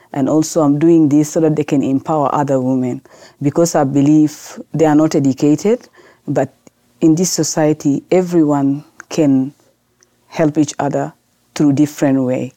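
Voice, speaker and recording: A woman from South Sudan, a lawyer guest on a podcast, answering in earnest, halting with many restarts. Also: sits low, mellow, no room sound. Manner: earnest, halting non-native English with restarts.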